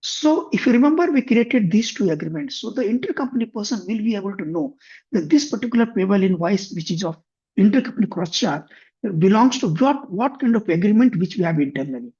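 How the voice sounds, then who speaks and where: A man demonstrating finance software on a shared screen, thinking aloud with frequent restarts. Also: sits high, lively intonation, a little fast, husky. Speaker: software instructor. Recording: muffled lo-fi computer mic.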